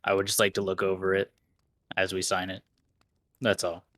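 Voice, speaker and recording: A man voicing a stern male personal bodyguard who speaks firmly and deliberately. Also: sits low, completely flat delivery, a bit bright.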